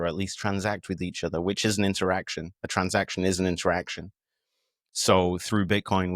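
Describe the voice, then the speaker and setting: A British man with a very deep, low voice talks through his ideas. He speaks reflectively, with an easy manner and occasional fillers. The sound is dry and close to the mic. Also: a bit bright, no echo. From United Kingdom. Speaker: podcast guest. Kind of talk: casual conversation.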